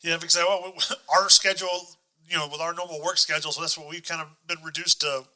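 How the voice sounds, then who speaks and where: Man, podcast host, high voice, very bright and sharp, casual chat. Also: level tone, fast, low-quality recording.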